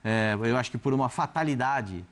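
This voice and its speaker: A man's voice: mid-range voice, a little fast. A man from Brazil commenting on a TV football debate show, talking animatedly with frequent restarts. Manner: hesitant, many restarts, animated. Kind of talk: TV sports debate.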